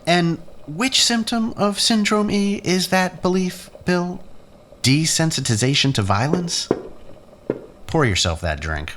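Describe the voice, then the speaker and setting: A man's voice: on the high side, lively intonation, unhurried, fairly bright tone. A man acting in a science fiction audio drama as a bitter, sardonic younger-brother scientist, with mocking, theatrical, erudite delivery. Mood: mocking, accusing. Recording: faint noise and room ambience.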